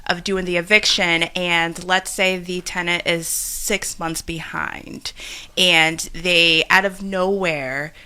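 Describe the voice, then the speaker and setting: A woman's voice: lowish voice, steady tone, sharp tone, clean tone. A young woman co-hosting a podcast, asking questions in a chatty way full of "like". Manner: chatty, quick, filler-heavy with "like". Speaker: co-host. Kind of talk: podcast interview.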